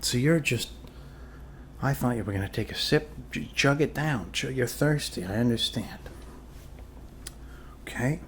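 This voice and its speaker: A man doing an improvised ASMR role-play as a calm, quirky doctor, speaking haltingly and reassuringly to a patient. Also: mid-range voice, slight background noise. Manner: halting, reassuring, trailing off with fillers and pauses. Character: calm, quirky male doctor treating a zombie bite.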